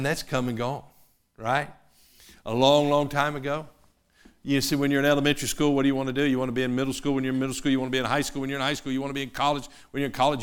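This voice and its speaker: A man, preaching a sermon. His delivery swings from loud, fervent preaching to quiet personal asides. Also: medium pitch, clear, resonant tone. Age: 50s.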